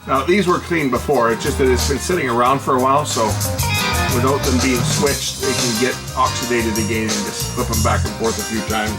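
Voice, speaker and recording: A man's voice: mid-range voice, fairly even intonation, a little fast. A man giving casual, hands-on commentary as a repair technician, informally muttering to himself as he works. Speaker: repair technician presenting his own video. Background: music playback, guitar.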